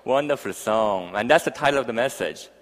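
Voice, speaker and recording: A man's voice: medium pitch, expressive, a little fast. Foreign-accented male preacher in an echoing hall. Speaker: visiting pastor. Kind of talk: informal sermon to a congregation. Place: large church hall, speaking to a congregation. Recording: echoey hall with long reverb.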